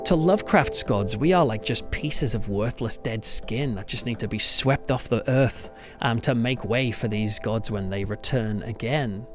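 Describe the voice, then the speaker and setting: A man's voice: mid-range voice, measured pace, few pauses, faint music behind. A man hosting a solo podcast, talking conversationally in an emphatic, reflective storytelling manner. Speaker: podcast host and church pastor.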